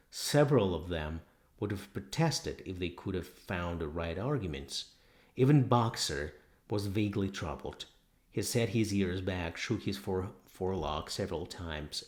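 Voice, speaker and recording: Casting: male audiobook narrator, lower-pitched, lively intonation, dramatic reading of literary fiction. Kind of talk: dramatic scripted narration of a novel.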